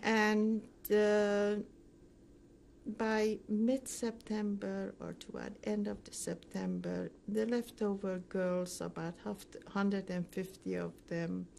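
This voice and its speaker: An older woman recounting her memories as a survivor in an oral history interview, speaking haltingly with frequent pauses mid-sentence. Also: mid-range voice, flat delivery, gravelly, darkish tone, slight background noise. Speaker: Holocaust survivor giving testimony.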